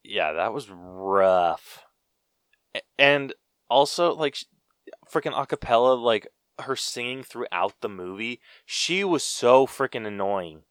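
A man co-hosts a podcast and talks through a movie in a relaxed way, with plenty of fillers. He speaks slowly in a smooth, clear voice. The recording is dry and close. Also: medium pitch, no echo. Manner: relaxed, with frequent fillers and mild swearing. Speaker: podcast co-host. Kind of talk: casual movie review chat.

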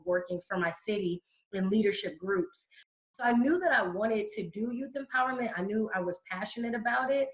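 A woman's voice: medium pitch, a bit bright, low-quality recording. A woman from Connecticut, a youth educator, answering interview questions in fluent, conversational storytelling.

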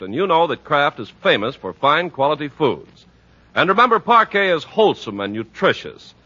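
American man, vintage radio announcer, highish husky voice, animated, emphatic, muffled recording. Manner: polished, emphatic. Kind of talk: 1940s radio announcing, scripted sponsor pitch. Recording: muffled 1940s radio broadcast, music behind.

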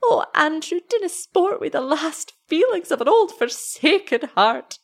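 A woman's voice: sits high, big pitch swings, unhurried, creaky, pitched above the performer's own voice, heavily acted. A woman voicing an anxious, scolding old shepherd's wife in a story, with a Scottish accent and fretful, exclamatory speech. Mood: pleading.

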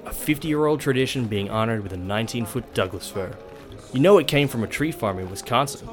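A man's voice: medium pitch, lively intonation, fairly quick, soft background music, close to an everyday voice. A man playing a supportive but wounded middle-aged husband and father in an audio drama. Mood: chatty.

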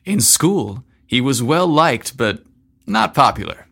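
A man hosting a story podcast, narrating from a script in a deliberate, emphatic way. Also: medium pitch, very animated, creaky, bright, forceful tone, breathy edge.

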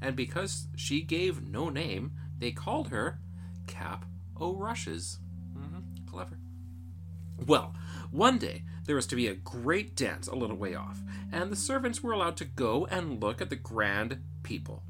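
A man's voice: mid-range voice, very animated, a little slow, noisy background. A man reading a fairy tale as a storyteller in a theatrical, folksy manner.

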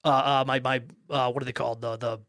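Gravelly, animated man running a tabletop game. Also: mid-range voice, fast, no room sound. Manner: animated, joking, swears casually. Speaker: game master. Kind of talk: casual podcast banter and game narration.

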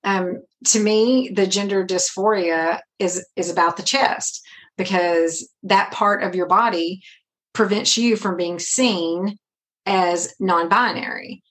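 A woman's voice: medium pitch, steady tone, slow, fairly bright tone. A woman hosting the conversation, a counselor who affirms and explains. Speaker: host and gender counselor. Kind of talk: supportive interview conversation.

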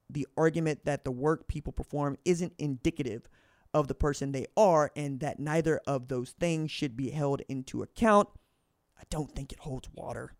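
A man with a fairly high voice reads aloud on his podcast and mixes in his own commentary. He trips over words and corrects himself as he goes. The recording is dry and close, with no room sound. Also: darkish tone, no room sound. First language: English. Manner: stumbles and corrects himself mid-sentence. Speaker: podcast host. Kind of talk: reading a book aloud with personal commentary.

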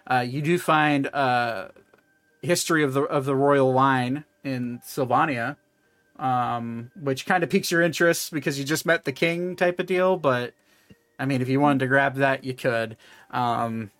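A man running a tabletop game as game master, narrating in a halting, conversational way and often correcting himself. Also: mid-range voice, fairly even intonation, fairly bright tone, no room sound.

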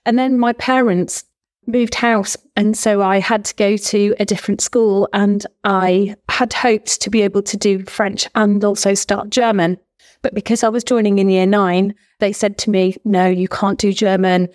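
A woman's voice: mid-range voice, fairly even intonation, moderate pace, darkish tone. A woman from England in her forties, a former language teacher, chatting enthusiastically as an interview guest and laughing often. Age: 40s. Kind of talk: casual interview chat.

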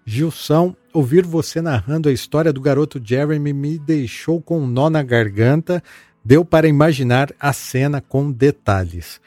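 A man's voice: on the high side, moderate pace, dry recording. Chatty male podcast host, dry sound. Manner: relaxed, chatty, with many fillers and repeated words. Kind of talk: casual podcast chat, reading listener messages aloud.

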